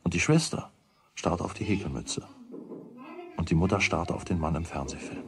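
A man narrating a children's radio play as a wry, deliberate storyteller with a husky voice. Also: sits low. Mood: wry, measured. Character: wry storyteller of a boy's everyday troubles.

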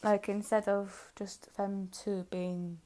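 A woman's voice: mid-range voice, level tone, slow, mellow. A woman giving casual, hesitant spoken commentary as she reviews videos she is reacting to.

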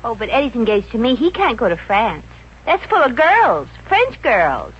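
Brash wisecracking girlfriend, swoopy animated voice, old radio comedy, dull hissy recording. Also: a little high, husky, full character voice. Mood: indignant, wry. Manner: brisk, wisecracking. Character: brash, scheming working-class girlfriend, bossy but soft-hearted. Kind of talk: 1950s radio comedy acting.

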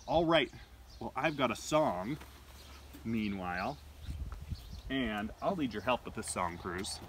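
A man in his thirties hosting storytime for young children outdoors, with an animated, gentle and expressive delivery. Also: mid-range voice. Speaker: library storytime host. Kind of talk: read-aloud storytelling and chat for young children. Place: outdoors in a leafy garden. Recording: outdoor background noise.

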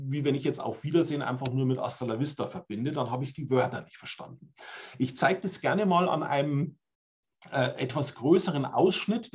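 Forties German male presenter, mid-pitched, webcam talk, explanatory and chatty with tag questions. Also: a little mellow. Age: 40s. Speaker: language trainer. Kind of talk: online conference presentation. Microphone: webcam on video call.

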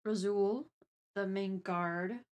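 Slow-paced woman chatting casually on stream. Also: medium pitch, steady tone, darkish tone, lo-fi sound. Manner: thinking aloud, deliberate. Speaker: stream host. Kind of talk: casual chat on a livestream.

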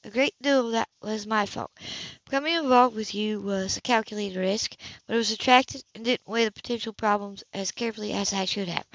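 A woman reader performs a composed woman in a romance audiobook. She is coolly polite and breezy, pitched higher, keeping tight control. The recording is a little dull and lo-fi. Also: fairly bright tone, dry recording.

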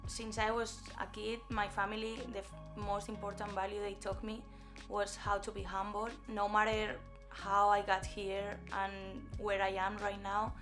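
A woman's voice: medium pitch, completely flat delivery, measured pace, music in the background. A young female college athlete speaking sincerely about being humble. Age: young adult. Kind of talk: promo testimonial.

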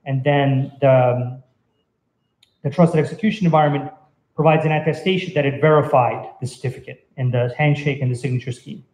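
A man's voice: mid-range voice, fairly even intonation, a little mellow. A man in his thirties giving an informal technical talk at an indoor venue, explaining in a hesitant, conversational way. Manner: hesitant, halting, conversational delivery. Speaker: conference speaker. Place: indoor meetup venue, on stage by projection screen. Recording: roomy, echoey event venue.

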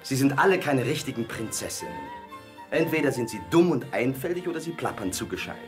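An actor playing a picky, earnest young prince in a fairy-tale radio play. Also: male voice, mid-range voice, very animated, a little fast, faint music behind, lightly acted. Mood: disdainful.

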